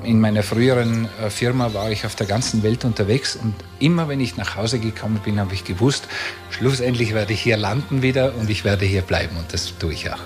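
A man's voice: fairly low pitch, a little fast, over music. A man from Tyrol with a Tyrolean Austrian accent, talking conversationally as a local guide. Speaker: local guide being interviewed. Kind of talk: conversational guided commentary on the region.

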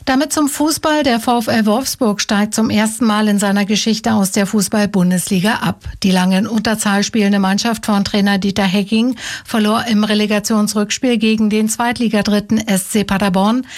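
A woman's voice: mid-range voice, steady tone, normal speed. Female radio newsreader, standard German. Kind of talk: scripted news reading.

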